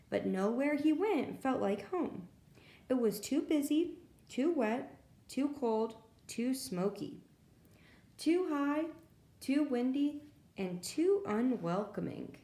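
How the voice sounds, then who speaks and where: A woman's voice: on the high side, lively intonation, slow pace, dark tone, reverberant. A woman reading picture books aloud to preschool children as a storyteller, expressively and with little asides to her young listeners. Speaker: children's librarian reading stories. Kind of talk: read-aloud picture book narration for preschoolers.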